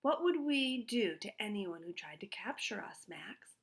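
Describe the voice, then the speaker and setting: A woman's voice: mid-range voice, very animated, unhurried, gravelly. A woman voicing a pompous, conspiratorial male art thief, a villain in a storybook. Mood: menacing.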